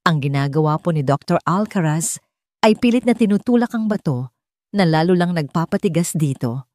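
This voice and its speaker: A woman's voice: low-pitched, animated, moderate pace, a little mellow, no room sound. A woman voicing a respectful, earnest housemaid, a grieving mother and former teacher, in an audio drama. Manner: polite, earnest explaining.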